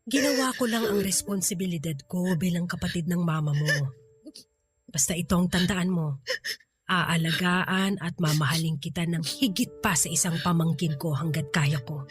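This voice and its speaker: Woman acting low-voiced, motherly, protective aunt. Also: normal speed, faint music behind, close to an everyday voice. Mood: tender, earnest. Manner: soothing, earnest. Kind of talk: Filipino radio drama acting.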